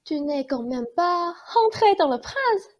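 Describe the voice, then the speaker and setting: A naive young daughter from a fairy-tale radio play, acted by a woman. Her voice is very high and childlike, with a blunt, artless delivery. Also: big pitch swings, unhurried, heavily acted. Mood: naive, protesting. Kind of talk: theatrical radio play acting.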